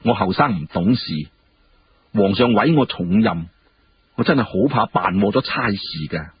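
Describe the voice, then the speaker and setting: A man's voice: on the low side, lively intonation, moderate pace, highs cut off, slight background noise, voice pushed down, close to an everyday voice. A man voicing a courteous, genial young court minister in a Cantonese story, explaining things politely. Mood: humble, respectful.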